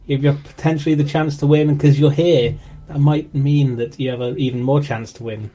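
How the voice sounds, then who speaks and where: A man's voice: mid-range voice, fairly even intonation, fairly quick, dark tone. A young man with an English accent, chatting casually while co-hosting a game stream and greeting viewers in chat. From England. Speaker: co-host streamer.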